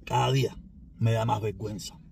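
A man's voice: fairly low pitch, steady tone, a little fast, creaky, a little mellow, slight background noise. A man from Cuba with a Cuban Spanish accent, giving a rambling, emphatic opinion monologue that circles back and repeats itself. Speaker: commentator.

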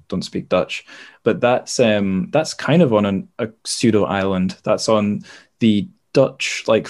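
Low-voiced man chatting casually. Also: darkish tone. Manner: informal, rambling, self-deprecating. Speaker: podcast host. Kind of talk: casual book chat.

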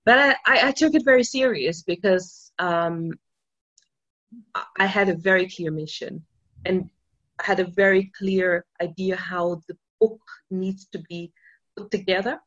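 Brief: low-voiced non-native woman from Austria, keen interview guest, muffled lo-fi recording. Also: a little slow, a bit bright. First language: not English. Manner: enthusiastic storytelling with many restarts and fillers. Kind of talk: casual interview talk.

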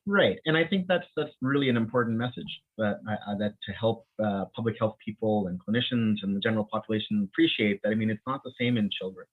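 A man who grew up in the Bahamas, an infectious disease physician speaking as an interview guest, halting and restarting often, with frequent light laughs. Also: on the low side. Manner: halting, many restarts and repeated words; laughs often while talking. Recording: tinny phone-like line.

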